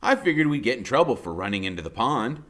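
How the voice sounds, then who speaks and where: A man's voice: mid-range voice, sing-song, fast, fairly bright tone. A man narrating a first-person story as an audiobook reader, conversational, wry and dramatic in his delivery.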